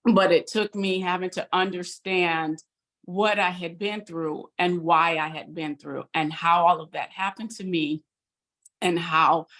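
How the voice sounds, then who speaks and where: A woman sharing a personal testimony on a video call, earnest and halting with fillers. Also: lowish voice, a bit bright. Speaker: guest.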